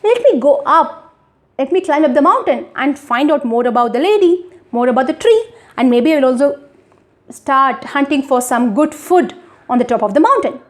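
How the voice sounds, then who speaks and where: A woman's voice: high voice, expressive, moderate pace. A woman storyteller voicing a curious, eager male hunter in a folk tale, speaking plainly.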